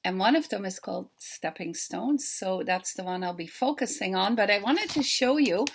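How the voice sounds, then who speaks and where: An older woman with a Dutch accent speaks in an earnest, unhurried way, as an author addressing a festival by video. Her voice sits at an ordinary pitch but carries a gravelly edge. She lingers on her words and tells her stories with care. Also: expressive. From Netherlands. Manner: warm, earnest storytelling, slow and deliberate. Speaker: children's book author. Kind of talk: recorded video greeting to a festival.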